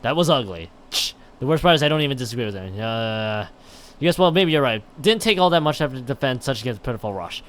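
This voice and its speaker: A man's voice: medium pitch, expressive, fast talker, a bit bright. A man livestreaming a visual novel, reading it aloud with stumbles and breaking in with casual, exclaimed reactions. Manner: stumbles over read text, exclaims and interjects his own reactions. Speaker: game streamer.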